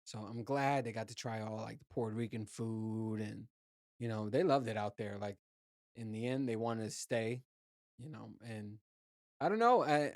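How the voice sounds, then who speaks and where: Casual, profane man talking in a dry room. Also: dry recording. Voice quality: low voice. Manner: loose, profane storytelling with lots of fillers and restarts. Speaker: podcast host. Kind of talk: casual solo chat.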